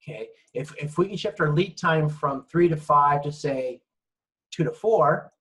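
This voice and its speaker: A man's voice: fairly high pitch, a little slow, a bit bright. A man lecturing informally over slides, explaining things in a conversational way and often checking in. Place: online lecture with screen-shared slides.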